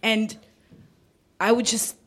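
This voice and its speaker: A woman from Kenya making an audience comment at a public panel, speaking earnestly and haltingly, rephrasing as she goes. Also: a little high, little pitch movement, fairly bright tone. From Kenya, Nairobi. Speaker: audience member, conflict studies student.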